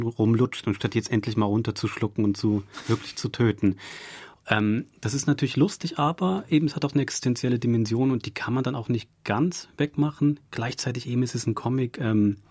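A man's voice: medium pitch, darkish tone, breathy edge, dry recording. A man giving a thoughtful interview as a radio drama director, explaining his craft with examples. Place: dry radio studio.

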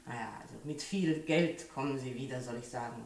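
An actor playing a nosy, grumbling neighbour with a blunt, familiar manner in a 1960s German radio play. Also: male voice, medium pitch, brisk, lightly acted. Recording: echoey old radio recording, slightly distant.

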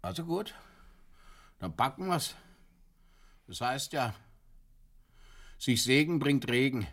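A man's voice: mid-range voice, big pitch swings, slow pace, fairly bright tone. An actor playing a drunk man in a radio play, rambling and repeating himself. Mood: resigned. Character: drunk man rambling and insisting over song words. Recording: distant voice, background chatter.

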